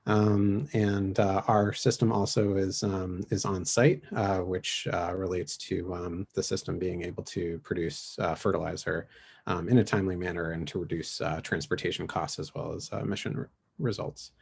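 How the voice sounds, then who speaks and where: A man gives a team pitch presentation with halting, hesitant phrasing. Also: fairly even intonation, vocal fry, a little mellow, breathy edge. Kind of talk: semi-rehearsed pitch presentation.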